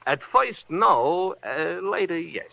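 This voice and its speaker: Animated New York cabbie, old radio. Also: male voice, fairly high pitch, takes it slowly, gravelly, dry recording, full character voice. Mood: confiding. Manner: expressive, effusive, homely. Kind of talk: 1940s radio acting. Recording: dull vintage 1940s radio recording.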